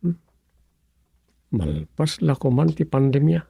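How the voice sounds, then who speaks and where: A husky, gravelly village schemer. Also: male voice, mid-range voice, very animated, takes it slowly, dark tone, dry recording, full character voice. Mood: musing, plotting. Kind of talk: Ilocano radio comedy drama acting.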